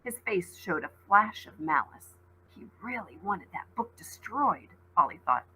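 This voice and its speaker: A woman reading a children's novel aloud as a teacher, in expressive storybook narration. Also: medium pitch, measured pace, a little hiss or hum, poor audio.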